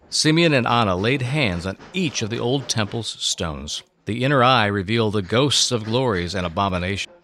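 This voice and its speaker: Male narrator for dramatic scripture storytelling: low register, very animated delivery, solemn and theatrical. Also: dry recording. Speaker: narrator and co-host.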